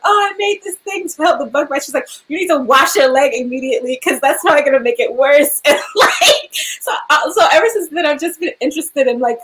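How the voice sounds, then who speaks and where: A woman's voice: high-pitched, a bit bright, poor audio. A young woman, an actor, chatting casually in an interview, laughing often and filling her speech with "like" and "um".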